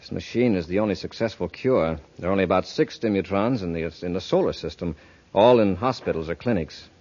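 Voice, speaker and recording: An actor playing a decisive, authoritative space patrol commander in a 1950s radio adventure serial. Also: male voice, sits low, a little fast, darkish tone. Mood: explaining. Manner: authoritative, crisp orders and explanations. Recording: muffled old radio recording.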